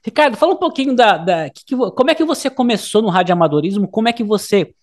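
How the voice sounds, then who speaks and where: A Brazilian man hosts a casual interview in a distinctly high-pitched voice. He rambles through his questions, starting over and rewording as he goes. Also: expressive, fast. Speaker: interview host.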